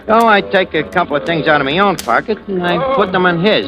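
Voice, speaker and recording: An actor playing a shifty, wisecracking New York crook who talks in streetwise slang, in 1940s radio style. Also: male voice, sits high, animated, a little fast, low-quality recording. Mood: smug storytelling. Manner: streetwise slangy talk with bad grammar. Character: shifty, wisecracking American crook posing as a gentleman. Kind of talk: 1940s radio acting, first-person narration. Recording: old radio recording with music behind.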